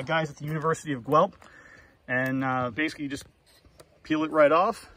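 A man in a casual outdoor how-to walkthrough, showing his hive gear in an apiary yard, relaxed and practical, with lots of "uh" and "right?" fillers. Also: fairly high pitch, slight background noise.

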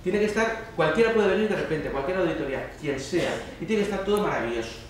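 A man's voice: high voice, animated, fast. Echoey, distant Spanish man presenting. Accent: Spanish (Spain). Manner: colloquial, emphatic, often checks with audience using tag questions. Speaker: speaker at a student congress. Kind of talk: informal conference presentation with slides. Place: indoor lecture hall, speaker standing on stage. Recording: roomy, distant mic with faint noise.

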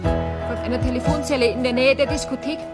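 Woman playing fragile bitter girl in radio crime play, higher voice, halting confession over music. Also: fairly even intonation, lightly acted. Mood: desperate. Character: beaten, wronged young woman, bitter and fragile. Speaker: actor. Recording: music under the voice.

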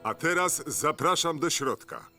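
An actor playing an arrogant, calculating tycoon villain in a dubbed cartoon, with a smooth, commanding manner. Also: male voice, a little high, animated, a little slow, pushed and bright, soft background music, full character voice. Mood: grandly welcoming.

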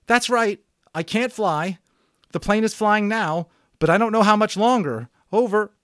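A man voicing a panicked teenage boy stranded in a small plane, pleading and shouting frantically. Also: high voice, measured pace, dry recording, studio quality, voice pushed up. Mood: desperate.

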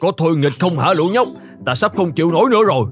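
Man acting an expressive old cartoon husband, music behind. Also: a little high, fast, close to an everyday voice. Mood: exasperated. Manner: expressive, exclamatory. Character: elderly husband, grumbly but kindly, wistful peasant. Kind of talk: cartoon fairy-tale dubbing.

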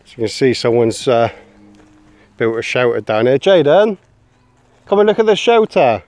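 A man's voice: mid-range voice, big pitch swings, normal speed, darkish tone, no room sound. A young man with an English accent, chatting casually to camera while walking through woodland and camping with his son. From England. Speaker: bushcraft vlogger. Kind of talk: casual outdoor vlog. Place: woodland and grassy campsite outdoors. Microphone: handheld camera.

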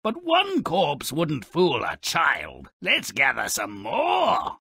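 A gruff, sneering gnome who narrates a twisted video game fairy tale. His raspy voice has a forceful, sharp edge and leaps theatrically up and down. He relishes each word slowly, with pompous, mischievous scorn. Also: fairly high pitch, huge pitch swings, no echo, exaggerated voice. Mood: gleeful, mocking. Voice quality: raspy, gravelly.

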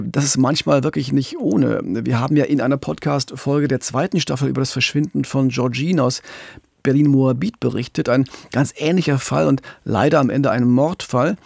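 A middle-aged man co-hosting a true-crime podcast, explaining cases in a measured, factual, journalistic way. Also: mid-range voice, vocal fry, darkish tone, husky, no room sound, studio quality. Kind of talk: journalistic podcast storytelling in dialogue.

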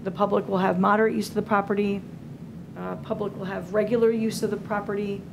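A woman's voice: mid-range voice, little pitch movement, fast talker, creaky, noisy background. A woman in her forties presents a scoring rubric to a committee in a town hall auditorium, talking it through with many fillers and restarts. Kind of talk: public meeting presentation. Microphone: table microphone.

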